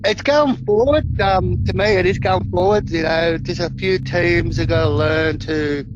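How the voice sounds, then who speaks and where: A man from Australia speaks as a guest on a video call about paranormal investigation, earnest and plain-spoken, and he repeats his points. His voice sits a little high for a man. The call sounds dull and lo-fi, with loud noise behind him. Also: measured pace. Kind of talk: casual video-call interview.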